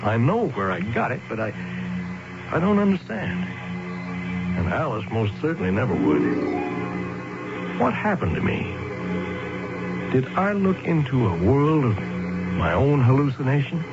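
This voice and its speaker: An actor playing a weary, bewildered husband in a 1970s radio drama, speaking in a halting, dramatic, reflective way. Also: male voice, medium pitch, sing-song. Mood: awed, reflective. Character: weary, bewildered husband shaken by a strange discovery. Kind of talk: 1970s radio drama acting with first-person narration. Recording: dull vintage radio broadcast with loud music.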